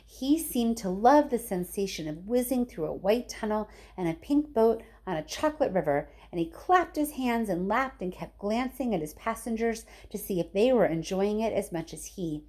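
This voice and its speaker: A woman reading a children's book aloud in an expressive storytelling style. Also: medium pitch, normal speed, few pauses. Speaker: storybook reader, teacher.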